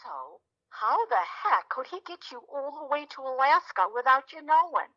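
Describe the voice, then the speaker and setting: An actress playing an older, brassy best friend in an audio comedy, sardonic, blunt and teasing. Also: female voice, high voice, very animated, normal speed, lo-fi sound. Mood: dry, incredulous. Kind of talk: audio theatre comedy acting.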